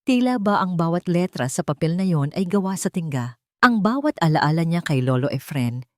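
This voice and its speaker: A woman's voice: on the low side, expressive, moderate pace, no room sound, clean studio sound. A woman narrating a scripted dramatic story as a storyteller.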